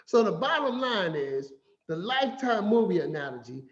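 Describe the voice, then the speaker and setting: A man giving a finance talk as an animated, playful storyteller who acts out quotes. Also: sits high, normal speed. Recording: echoey room, slightly dull sound.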